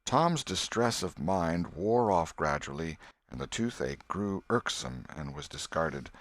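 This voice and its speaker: Expressive low-voiced male audiobook narrator. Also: a little slow, no echo. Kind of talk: scripted storybook narration.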